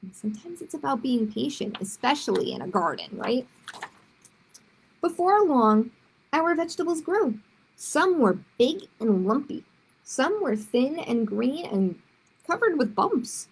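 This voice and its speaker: A woman's voice: sits high, sing-song, unhurried, vocal fry, slightly rough, poor audio. A woman reading a children's story aloud expressively, with short explanatory asides to young listeners.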